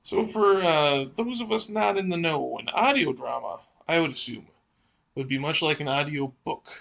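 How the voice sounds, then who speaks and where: Podcast cohost guy, sharp voice w lots of fry, kinda halting, thinks out loud. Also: a little high. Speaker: podcast co-host. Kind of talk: conversational podcast discussion, asking questions.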